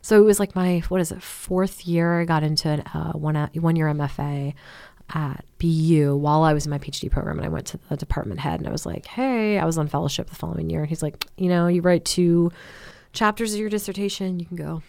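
A low-voiced woman with a gravelly, creaky edge talks as a guest in a dry radio studio. She is chatty and self-deprecating. She speaks casually and laughs easily. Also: steady tone, quick pace, darkish tone, dry recording. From Canada. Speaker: guest, English professor. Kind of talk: casual interview chat.

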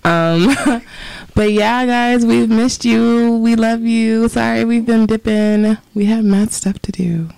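A woman's voice: a little high, steady tone, slow, vocal fry, darkish tone, clear, resonant tone, no echo. A woman about twenty with a southern accent, co-hosting a college radio show in casual, giggly chat full of slang. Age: 20s. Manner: chatty, giggly, loose slang. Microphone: studio microphone.

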